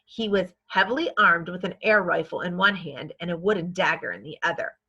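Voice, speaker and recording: A woman reading a children's story aloud in a measured, scripted narrating style. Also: lowish voice, sharp tone, slightly rough, muffled recording. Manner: measured, acts out dialogue. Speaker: storybook reader.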